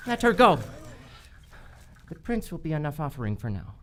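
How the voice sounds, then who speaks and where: A woman's voice: low-pitched, very animated, normal speed, fairly bright tone, slight background noise. An actress playing a commanding, scheming female villain in a live old-time radio adventure. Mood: cold, commanding.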